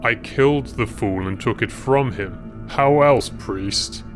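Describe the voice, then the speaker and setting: A man's voice: mid-range voice, slow, faint music behind, close to an everyday voice. A man voicing a smug, menacing noble wizard who savours his mocking words in a fantasy audiobook.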